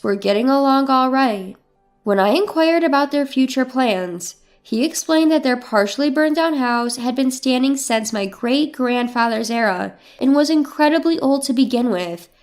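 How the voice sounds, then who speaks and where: A woman reading a scripted first-person dramatic story as its narrator. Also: a little high. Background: background music.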